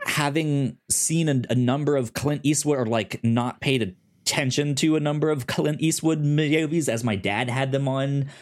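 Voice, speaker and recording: Halting man chatting on a podcast. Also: medium pitch. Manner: halting, stammering, repeats words. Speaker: podcast co-host. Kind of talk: casual chat pitching films on a movie podcast.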